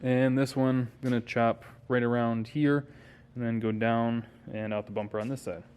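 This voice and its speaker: A man's voice: mid-range voice, level tone, moderate pace, a little mellow, smooth. An American man narrating a garage car-build vlog informally, thinking aloud as he works. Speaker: car builder and video host. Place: home garage.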